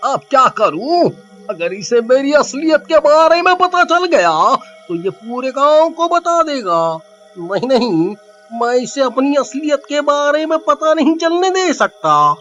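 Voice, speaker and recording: A man voicing a panicky conman disguised as a witch in an animated horror story, flustered and grumbling. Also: very high voice, measured pace, highs cut off, soft background music, pitched above the performer's own voice, exaggerated voice. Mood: panicked, irritated.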